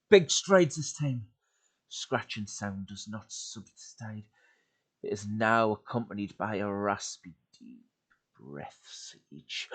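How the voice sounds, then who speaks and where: A man giving a dramatic reading of a horror story into a home desk mic, swinging from loud urgency to hushed, breathy lows. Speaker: YouTube creepypasta reader.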